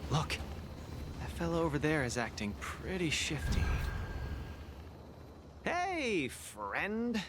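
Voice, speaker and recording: A man's voice: a little high, huge pitch swings, takes it slowly, long pauses, background noise, full character voice. An actor playing a cheerful, boastful young male boxing champion in a video game, showy and upbeat. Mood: friendly. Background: game music.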